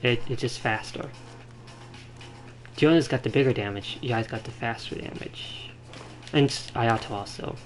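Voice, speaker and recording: A man streams a game with casual, rambling commentary. His voice is of middling pitch and he thinks aloud haltingly, with game music clearly playing behind him.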